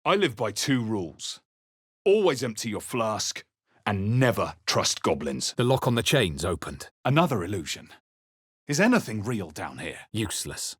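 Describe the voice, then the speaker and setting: A man voicing a wary, brooding adventurer hero in a fantasy video game, narrating in the first person with dramatic, measured intensity. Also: lowish voice, big pitch swings, a bit bright, breathy edge, no echo, studio quality, heavily acted. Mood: grave, brooding. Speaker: video game player character voice.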